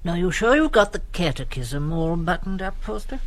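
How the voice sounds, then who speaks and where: A man's voice: sits high, sing-song, moderate pace. A man with an English accent playing an awkward, chummy, hesitant schoolmaster in a comic radio drama. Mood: hearty, awkward. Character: hearty, awkward English schoolmaster giving confirmation class.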